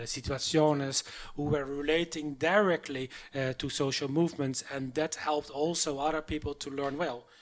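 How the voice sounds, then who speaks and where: Hesitant man, mid-pitched. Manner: hesitant, many fillers and restarts. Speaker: artist, panel guest. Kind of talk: public panel conversation.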